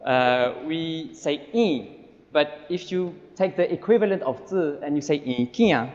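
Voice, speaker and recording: A man's voice: fairly high pitch, measured pace, darkish tone. A man with a Taiwanese accent, from Cambodia, patiently explaining vowels and consonants one sound at a time in a recorded lesson. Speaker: language teacher in a recorded video. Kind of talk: slow methodical explanation. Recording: dull, reverberant played-back video audio.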